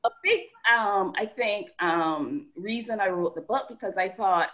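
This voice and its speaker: A woman from Barbados with a Barbadian accent, a guest author and coach talking through an interview in animated, rhythmic runs of points. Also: mid-range voice, unhurried, muffled recording. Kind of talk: podcast interview conversation.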